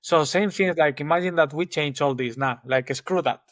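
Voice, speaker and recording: A man's voice: fairly high pitch, normal speed, dry recording. Man with a Spanish accent, dry close sound. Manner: relaxed, thinks aloud with many restarts. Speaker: guest engineering manager. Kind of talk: casual interview chat.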